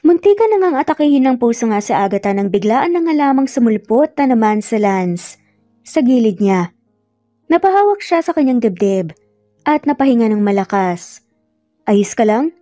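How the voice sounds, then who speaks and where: A woman's voice: a little high, sing-song, moderate pace, dark tone, no echo. A woman with a Filipino accent reading a scripted romance story as its narrator. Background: music.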